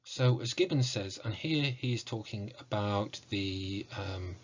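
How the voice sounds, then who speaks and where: A man in his forties giving an academic lecture in a measured, formal, scholarly way, recorded at home on a computer mic. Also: on the low side, muffled recording. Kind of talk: academic lecture on video game music. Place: book-lined room at home, indoors.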